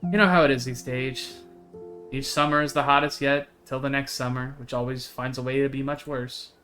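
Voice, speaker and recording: A bright-voiced, talkative young woman monster hunter from a visual novel, read out by a man. She is earnest and eager, with a folksy turn of phrase, and music plays behind her. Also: mid-range voice, steady tone, moderate pace, natural voice. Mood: chatty, wistful. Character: chatty, earnest young woman who hunts cryptids.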